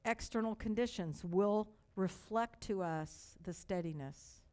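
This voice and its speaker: Older woman minister, light Texas accent, mid-range voice; preaches in slow, emphatic repeated phrases and folksy story asides. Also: mid-range voice, darkish tone. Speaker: pastor. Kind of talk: sermon.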